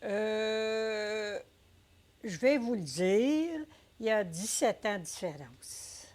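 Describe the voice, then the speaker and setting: An older woman with a Québécois accent, telling her story in a casual interview, unhurried and hesitant, full of fillers. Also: mid-range voice, lively intonation, a little mellow. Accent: Québécois French. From Quebec. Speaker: interviewee, entrepreneur.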